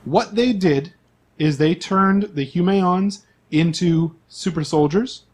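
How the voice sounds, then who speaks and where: A man's voice: high-pitched, takes it slowly, darkish tone, slightly rough. A man speaking as game master, explaining the game's setting to his players in an informal, conversational way.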